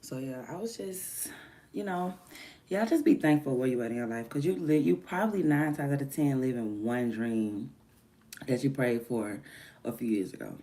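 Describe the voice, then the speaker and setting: A woman chatting casually on a morning livestream from home, her voice deeper than usual from just waking up, rambling and drifting between soft murmurs and livelier bursts. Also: normal speed. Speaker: livestreamer and music artist.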